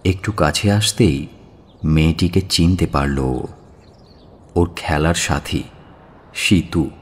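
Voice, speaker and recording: A man narrating an audio story in a measured, expressive and dramatic storytelling style. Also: low voice, husky. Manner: measured, expressive, drawn-out phrasing.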